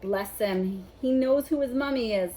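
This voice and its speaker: A woman's voice: fairly high pitch, unhurried, warm tone, slight background noise, lightly acted, poor audio. A woman reading a storybook as a sentimental male gamekeeper, cooing and pleading over his pet. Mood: doting.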